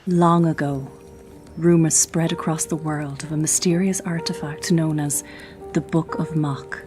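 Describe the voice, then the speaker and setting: A woman narrating a scripted fantasy audio drama as a solemn, measured storyteller. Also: low-pitched, fairly even intonation. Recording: loud music under the voice. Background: loud music.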